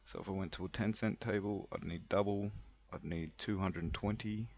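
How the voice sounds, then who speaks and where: Low-voiced man in casual commentary. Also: steady tone, normal speed, darkish tone. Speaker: online roulette player explaining a betting strategy. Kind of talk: casual screen-recorded commentary.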